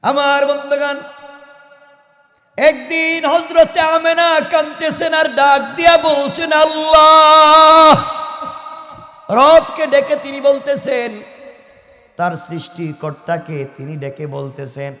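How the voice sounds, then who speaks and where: A man delivers an impassioned sermon through a heavily echoing sound system. His voice sits high, swelling from lower phrases into loud exhortations as he tells religious stories and puts rhetorical questions to his listeners. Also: slow pace. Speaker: Islamic preacher. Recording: echoey hall PA, long reverb.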